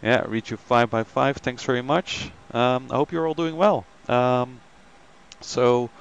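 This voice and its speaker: A man's voice: medium pitch, steady tone, measured pace, vocal fry, clear, resonant tone, highs cut off. A man hosting a flight simulator live stream, chatting casually with many ums and greeting viewers by name.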